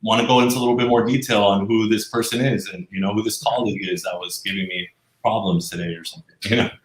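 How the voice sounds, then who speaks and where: A man answering interview questions in a casual explanatory way, agreeable, with a hesitant, halting delivery. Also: lowish voice, steady tone. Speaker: interview guest, animated explainer video professional. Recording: echoey, roomy sound.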